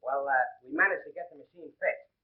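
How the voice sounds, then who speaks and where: A man playing a brash, quick-witted young wisecracker with glib patter in an old film comedy, on a muffled, tinny soundtrack. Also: a little high, close to an everyday voice. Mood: casual. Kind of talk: 1930s film comedy acting.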